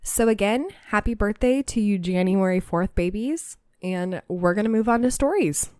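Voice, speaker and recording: A woman's voice: medium pitch, animated, moderate pace, warm tone, clean tone, dry recording, studio quality. A young woman hosting a podcast, chatting casually and reading aloud, slightly nasal, laughing easily. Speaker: podcast host.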